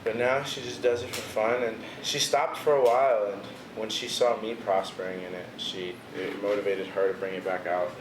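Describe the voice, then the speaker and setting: A man's voice: fairly low pitch, fairly even intonation, moderate pace, hardly any pauses, a crowd in the background. A young man from the Washington DC area, a visual artist chatting casually as an interview guest, laid-back and full of "bro" and "you know". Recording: echoey room with noticeable background noise.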